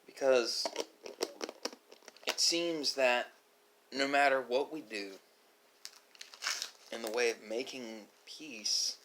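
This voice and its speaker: A man giving a reflective, philosophical monologue as an online host, speaking haltingly with drawn-out pauses. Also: medium pitch, background noise. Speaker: host of an ASMR mukbang channel.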